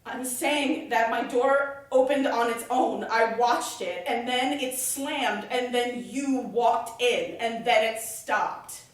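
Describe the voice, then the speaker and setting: A woman's voice: a little high, slow, hardly any pauses. An actress playing a frightened, suspicious woman in an audio drama, stammering and repeating her words in agitation. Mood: insistent, shaken. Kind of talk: naturalistic audio drama dialogue. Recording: echoey room, distant from mic.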